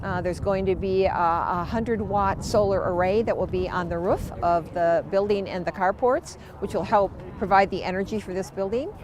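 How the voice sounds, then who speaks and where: Casting: woman official, enthusiastic, mid-pitched, outdoor interview. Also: normal speed, few pauses, a crowd in the background. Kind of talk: interview soundbite.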